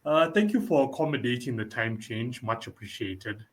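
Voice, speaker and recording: A man's voice: mid-range voice, expressive, normal speed. A man hosting a podcast in casual interview chat, often repeating short phrases and filling in with "you know". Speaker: podcast host.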